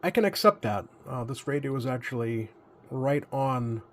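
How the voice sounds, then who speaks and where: A man giving a casual, unscripted hands-on commentary as a hobbyist repairer, easygoing and halting as he thinks aloud. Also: medium pitch, expressive, a bit bright. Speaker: radio repair hobbyist hosting a video.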